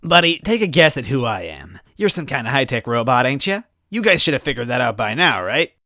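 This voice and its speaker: A man's voice: medium pitch, sing-song, fairly quick, bright, forceful tone, no echo, clean studio sound, pitched above the performer's own voice. A man playing a mischievous, mocking ghost in a story reading, with a slangy, teasing, casual manner. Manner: slangy, teasing, gleeful drawl.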